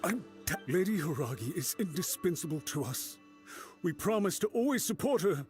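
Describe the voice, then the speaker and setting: A man's voice: high voice, sing-song, normal speed, a little mellow, soft background music. An anxious, devoted retainer with a slightly raised voice that rises and falls animatedly. He pleads earnestly and gets flustered easily. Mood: pleading. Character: anxious, devoted male retainer of a noble lady. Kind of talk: game voice acting.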